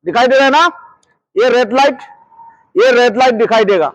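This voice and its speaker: High-pitched man giving hands-on instruction. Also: moderate pace, fairly bright tone, no echo, poor audio. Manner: repetitive, checks understanding often. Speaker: security guard trainer.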